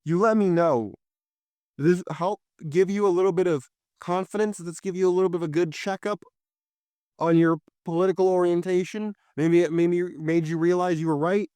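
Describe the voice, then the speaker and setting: Young male podcast host, hoarse and fired up, clear high voice, dry sound. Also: steady tone, brisk, warm tone, dry recording. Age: young adult. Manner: impassioned, preachy, addresses listeners directly. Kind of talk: solo political commentary.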